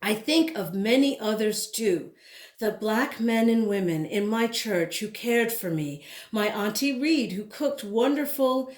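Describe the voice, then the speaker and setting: A woman reading a picture book aloud to children, expressively, pausing to speak directly to her young listeners. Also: a little high, animated, echoey room. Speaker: children's story reader.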